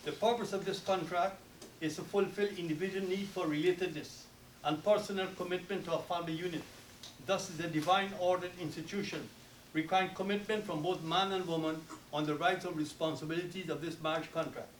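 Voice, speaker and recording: A man from Guyana with a Guyanese accent, officiating a wedding and giving a solemn, formal sermon with rapid Arabic recitation. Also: high-pitched, steady tone, roomy sound, distant mic, a little hiss or hum, rough recording.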